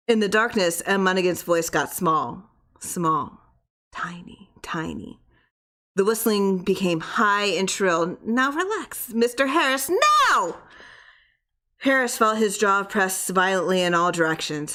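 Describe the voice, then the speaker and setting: A woman reading a horror story as narrator, dramatic, expressive and suspenseful. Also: on the low side.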